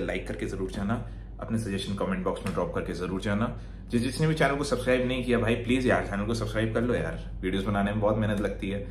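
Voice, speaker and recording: Chatty young vlogger man. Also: lowish voice. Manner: chatty, friendly, sprinkles English phrases, calls viewers bhai and yaar. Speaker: fitness vlogger. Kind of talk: casual vlog chat to camera. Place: indoor rooms, a gym and a bedroom. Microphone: handheld phone selfie and fixed camera. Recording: roomy echo, faint ambient noise.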